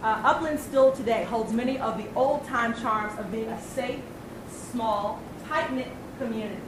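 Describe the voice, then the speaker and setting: A young woman from Northern California presents to a community gathering in a measured, prepared way with the odd filler. Her voice sits a little high and is picked up from a distance in a reverberant hall with noticeable noise. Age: young adult. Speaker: program presenter. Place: large hall with audience. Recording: echoey room, far from mic, noisy.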